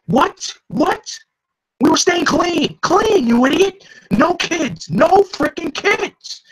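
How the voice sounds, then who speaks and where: A man voicing a jumpy, panicky young male street thug in a read-aloud story, with loud, high outbursts. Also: very animated, slow, exaggerated voice, rough recording. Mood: panicked.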